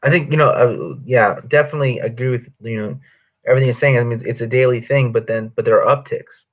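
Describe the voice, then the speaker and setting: Fast-talking outspoken male host. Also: mid-range voice, dull audio. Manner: outspoken, emphatic. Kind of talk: casual interview.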